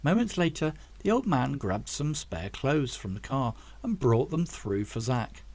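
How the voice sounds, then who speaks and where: A man's voice: mid-range voice, big pitch swings, measured pace, a little mellow. A man reading a story aloud as scripted audiobook narration, as the author of the book.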